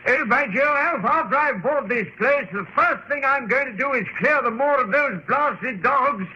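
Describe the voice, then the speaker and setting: An actor playing a nervous, stammering, blustering gentleman in an old radio drama. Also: very high voice, expressive, moderate pace, full character voice. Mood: uneasy. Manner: stammering, fussy bluster with dated British slang. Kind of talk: 1950s radio drama acting. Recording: lo-fi old radio, faint noise.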